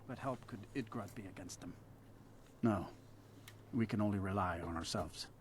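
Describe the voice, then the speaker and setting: A man's voice: on the low side, moderate pace, slight background noise, close to an everyday voice. An actor playing a disgruntled, suspicious townsman in a fantasy game, grumbling bitterly. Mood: bitter, worried. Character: disgruntled, suspicious male townsman fed up with his ruler. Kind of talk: dramatic game dialogue.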